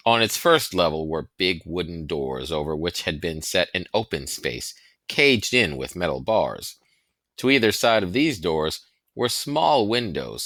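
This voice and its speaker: A man reading a historical novel aloud as scripted narration, with deliberate, dramatic phrasing and stressed pauses. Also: low voice, pushed and bright, no room sound. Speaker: audiobook reader.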